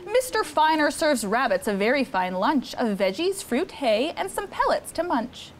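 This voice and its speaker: A young woman hosting story time, reading a picture book aloud to children in an expressive, encouraging way. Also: high-pitched, moderate pace, a little hiss or hum. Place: studio set with seated children.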